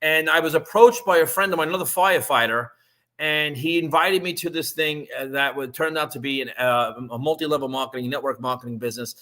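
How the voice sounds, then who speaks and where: A man, talking casually on a live stream and pitching an online business. He rambles, restarts often and keeps checking in with "right?". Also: a little fast, pushed and bright. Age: 50s.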